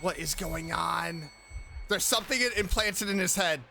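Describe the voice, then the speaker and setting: A man's voice: high-pitched, moderate pace, vocal fry, background noise. A man giving casual reaction commentary as he streams a game, exclamatory and joking. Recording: faint game music behind.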